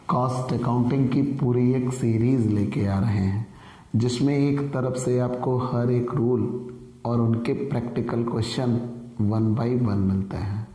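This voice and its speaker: A man with a sore, hoarse throat, teaching an online commerce lecture in an earnest manner and calling viewers dear friends. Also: mid-range voice, warm tone, roomy sound, big-room sound, poor audio. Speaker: commerce tutor.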